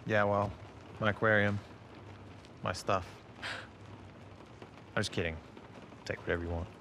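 Wry young survivor in a game drama: lowish voice, earnest, halting, noisy ambience behind. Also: male voice, steady tone, sharp tone. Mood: wry, casual. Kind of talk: naturalistic game cutscene acting.

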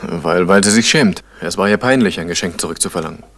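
Casting: young, defensive schemer in a vintage radio drama, low-voiced, quick, terse. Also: male voice, a bit bright. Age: young adult. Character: young man, tense, impulsive, evasive small-time schemer. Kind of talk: 1970s German radio drama acting.

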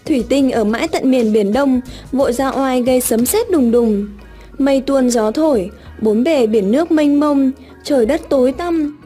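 A woman's voice: sits high, moderate pace, husky. A woman storyteller reading scripted narration of a tale for children. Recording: loud music under the voice. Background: music.

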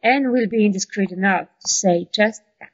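A woman's voice: medium pitch, moderate pace, breathy edge, muffled recording, natural voice. An actress playing a dry, wry female attorney who worries for her client.

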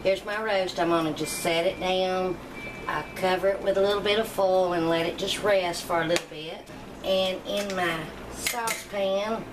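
A woman's voice: mid-range voice, background noise. A middle-aged woman, chatting homily through a cooking demonstration in her home kitchen. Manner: chatty, homey, rambling asides. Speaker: cooking channel host. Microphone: camera mic, speaking off camera.

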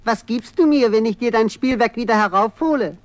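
An actress playing a talking frog in a fairy-tale radio play, insistent and demanding, with deliberate delivery. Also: female voice, on the high side, natural voice. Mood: bargaining.

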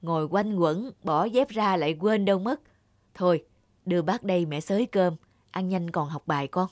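A woman's voice: fairly low pitch, moderate pace, no echo, clean studio sound, lightly acted. Actress as a humble peasant mother in a cartoon. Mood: gentle, evasive. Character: humble, caring middle-aged peasant mother, shy and self-effacing. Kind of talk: animated moral tale acting.

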